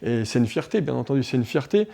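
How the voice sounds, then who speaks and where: A man in his forties from Switzerland, a martial arts instructor talking in a local TV interview, animated and restarting often with many fillers. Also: medium pitch, fast, creaky, slight background noise. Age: 40s.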